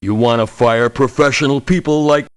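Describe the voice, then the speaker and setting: Game mercenary, played by a man: wary professional, firm, matter-of-fact, mid-pitched, recorded very dry. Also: moderate pace, smooth, no room sound, close to an everyday voice. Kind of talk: video game character voice lines.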